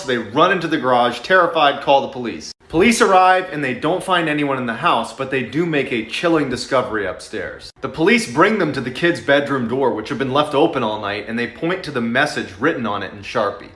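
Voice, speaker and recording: A man's voice: medium pitch, fairly bright tone. A man narrating a scary story for social media with an emphatic, suspenseful delivery. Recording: roomy echo.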